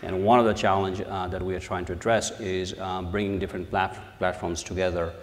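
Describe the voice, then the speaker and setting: Deep-voiced man with an Indian accent. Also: little pitch movement, a little hiss or hum. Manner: halting, with many "you know" fillers. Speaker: panel speaker, research executive. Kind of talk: conference panel talk. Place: conference hall. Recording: large hall reverb.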